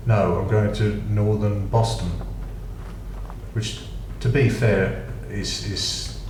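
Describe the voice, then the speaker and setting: A man's voice: fairly low pitch, steady tone, takes it slowly, darkish tone. A man with a British accent giving casual, rambling gameplay commentary with dry, self-mocking asides. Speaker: gaming channel host. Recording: echoey room, distant mic, noisy.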